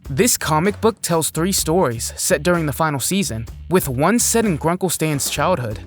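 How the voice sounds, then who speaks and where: A man's voice: fairly high pitch, animated, moderate pace. A man reading scripted narration for a list video, presenting facts and putting questions to the viewer. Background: music.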